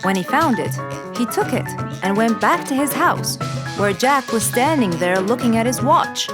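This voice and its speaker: Lively woman storyteller over loud music. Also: on the high side, moderate pace. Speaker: narrator. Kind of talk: scripted children's story narration.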